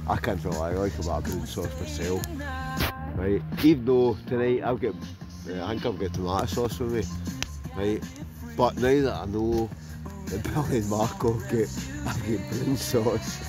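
A man's voice: on the low side, moderate pace. A Scottish man chatting cheerily to viewers as he vlogs a night of campfire cooking outdoors. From Scotland. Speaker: vlogger. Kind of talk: casual camping vlog. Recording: outdoor campfire recording with music behind. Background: music playing, food sizzling.

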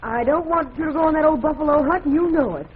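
A woman's voice: sits high, quick pace, lightly acted. An actress playing a headstrong, indignant young frontier woman in an old-time radio drama. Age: young adult. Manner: pert, indignant. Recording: tinny, noisy old radio recording.

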